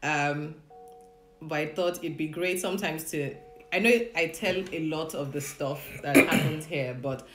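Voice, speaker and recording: A woman's voice: deep voice, fairly even intonation, a little slow, roomy sound, soft background music. A woman hosting a casual live-streamed chat at home with her husband, greeting viewers, laughing often and leading a prayer. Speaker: host of a live session. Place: home room, indoors.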